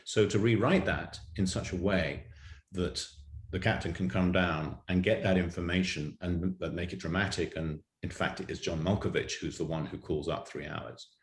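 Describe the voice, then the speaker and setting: A man telling an anecdote in an interview as a writer, explaining in measured detail, recorded with roomy echo. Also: low-pitched, fairly even intonation. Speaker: writer of audio drama, interview guest. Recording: roomy, echoey sound.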